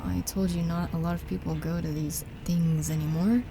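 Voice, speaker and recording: A woman's voice: fairly low pitch, level tone, normal speed, gravelly, music in the background, poor audio. A woman playing an exasperated, sardonic young woman in a naturalistic radio drama. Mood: dry, skeptical. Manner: sarcastic, questioning.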